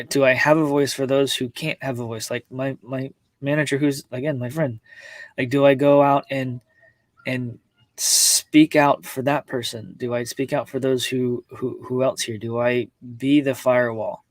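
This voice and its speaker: A man with a mid-pitched voice, recorded dry and close, hosts a casual personal livestream. He talks in a halting, reflective way, repeating words as he works through his thoughts and addresses his viewers. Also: dry recording, poor audio. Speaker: host of a personal live-streamed show. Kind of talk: casual, confiding livestream talk to viewers, reading chat comments.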